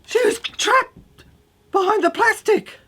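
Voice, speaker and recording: A woman delivering a dramatic spoken-word monologue in halting, fragmented phrases that often repeat. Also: extremely high pitch, bright, forceful tone. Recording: echoey room.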